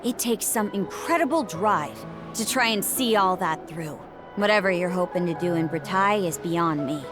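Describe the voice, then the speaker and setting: A woman's voice: on the low side, expressive, moderate pace, natural voice. An actress playing a proud, blunt, determined young warrior woman in a video game, speaking in a tough, earnest, defiant way. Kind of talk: dramatic video game voice acting. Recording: game music under the voice.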